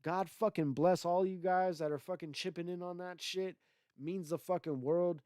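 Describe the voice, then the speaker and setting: A man's voice: sits high, fairly even intonation, normal speed, darkish tone, no echo, studio quality. Stuffy-nosed man, rambling and profane. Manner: loose, rambling, heavy swearing. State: congested, stuffy nose. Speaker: solo livestream podcast host. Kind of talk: casual profane livestream rant.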